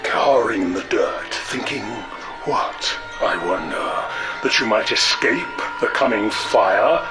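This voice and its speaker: An actor playing a grandiose alien zealot tyrant in a sci-fi game, declaiming pompously and slowly over loud music. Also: male voice, fairly low pitch. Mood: menacing, exultant. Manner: pompous, slow oratorical declaiming. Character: grandiose alien prophet, male zealot tyrant threatening doom. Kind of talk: villain monologue in cinematic sci-fi game.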